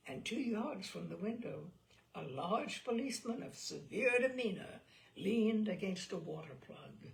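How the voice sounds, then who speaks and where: A woman reading classic short fiction aloud with theatrical, deliberate, expressive storytelling. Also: mid-range voice, gravelly. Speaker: story reader.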